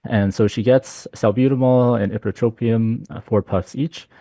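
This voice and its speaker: A man with a low, mellow voice walks through a clinical case, his delivery dotted with ums and uhs. The recording is dry and close. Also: fairly even intonation, moderate pace, no echo. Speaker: physician speaker.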